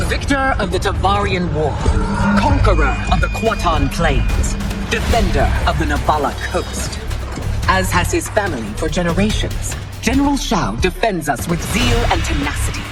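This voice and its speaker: A regal, stern empress in a game cutscene, her formal commands rising and falling in a lively, animated way. Orchestral game music sits clearly behind her. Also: lowish voice, normal speed. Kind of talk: video game cutscene acting.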